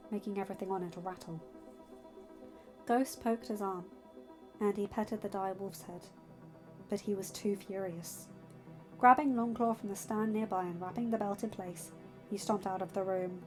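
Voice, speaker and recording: A woman reading fiction aloud as an audiobook narrator, in an expressive storytelling style. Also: medium pitch, normal speed. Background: music.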